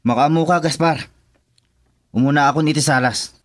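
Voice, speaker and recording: A man's voice: a little high, normal speed, natural voice. A man with an Ilocano accent playing a sullen, irritable henchman in a radio drama. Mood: irritated. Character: sullen, grudging male henchman, irritable with his rival.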